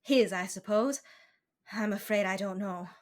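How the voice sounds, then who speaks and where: Actress as femme fatale, mid-pitched, coy, throbbing plea, hesitant, deceitful, 1940s radio play. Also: female voice, natural voice. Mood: guarded, earnest. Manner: breathy pauses, theatrical pleading with a throb. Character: slick, pleading, deceitful femme fatale in distress. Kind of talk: 1940s radio drama acting.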